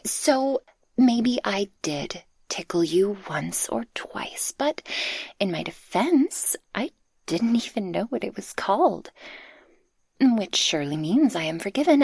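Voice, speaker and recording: A woman playing a tender succubus guardian in an intimate, soft-spoken ASMR roleplay, affectionate and breathy. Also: medium pitch, no room sound, clean studio sound, exaggerated voice. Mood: playful, mock indignant. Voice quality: breathy, husky. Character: tender, possessive female succubus guardian, playful and shy in love.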